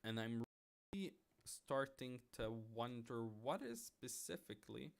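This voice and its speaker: Male streamer from Lebanon, mid-pitched and slow-paced, casually thinking aloud with self-mocking asides. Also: lively intonation, creaky. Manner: thinks aloud with playful sound-words and muttered self-mockery. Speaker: streamer, game level developer. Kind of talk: casual livestream commentary while working.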